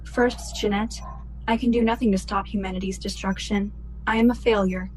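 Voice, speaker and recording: A woman playing a logical, guilt-ridden female ship's computer in a sci-fi radio play, speaking in formal, measured phrases. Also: medium pitch, steady tone, a little mellow, slight background noise, natural voice, rough recording. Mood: regretful. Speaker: actor in a student radio play.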